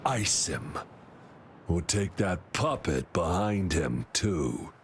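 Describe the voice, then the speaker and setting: An actor playing a cold, menacing gang boss in a video game, curt and commanding. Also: male voice, low voice, sing-song, slow, a little hiss or hum, full character voice. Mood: cold menace. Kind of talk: video game villain acting.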